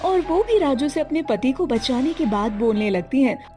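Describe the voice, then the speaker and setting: A woman's voice: sits high, animated, moderate pace, warm tone, faint music behind. A woman reading a scripted children's story as its narrator.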